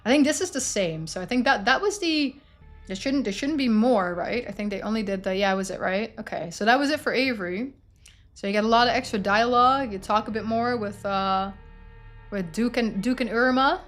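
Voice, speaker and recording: A woman's voice: fairly high pitch, a little fast, a bit bright, soft background music. Woman streamer narrating a story game. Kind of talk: casual gameplay commentary and story narration.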